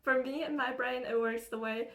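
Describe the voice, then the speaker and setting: A chatty young woman from Germany with an American-sounding English accent talks into the camera in her room. Her voice sits a little high, and she rambles casually with plenty of fillers and bursts of laughter. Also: steady tone, normal speed. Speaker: spiritual channeler and content creator. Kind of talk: casual get-ready-with-me chat. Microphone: camera used as a mirror, close-up.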